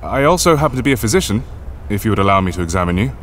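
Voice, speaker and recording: Low-voiced, dry, pragmatic expedition leader. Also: male voice, big pitch swings, fairly bright tone, slight background noise. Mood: measured. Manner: measured, dry, formal. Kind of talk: audio drama acting.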